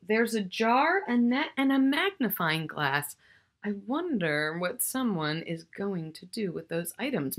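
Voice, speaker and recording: Animated, bright-voiced woman reading to children. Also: a little high. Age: middle-aged. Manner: expressive, drawn-out key words with questions and asides to the listener. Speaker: children's author reading her book. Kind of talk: animated picture-book read-aloud for young children. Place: small furnished room at home, indoors.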